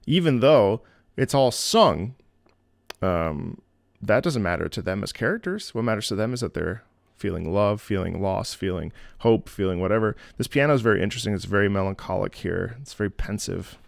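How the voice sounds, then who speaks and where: A man's voice: fairly low pitch, lively intonation, normal speed, vocal fry, no room sound. Chatty man reacting to game music. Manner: chatty, enthusiastic, with many fillers and restarts. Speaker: music reaction video host. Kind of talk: casual reaction commentary.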